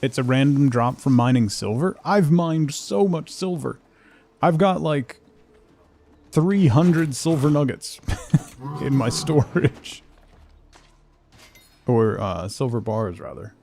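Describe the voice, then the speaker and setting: Animated, mellow-voiced man streaming a game. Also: mid-range voice, slow, gravelly, long pauses. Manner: musing to himself, thinking aloud. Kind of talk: casual solo gameplay commentary.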